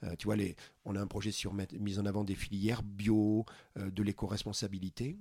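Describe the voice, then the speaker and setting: A man from France in a casual interview, talking earnestly and often pausing to find his words. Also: on the low side, little pitch movement, mellow, husky, dry recording. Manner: earnest, searching for words with many pauses. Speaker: podcast founder, interview guest.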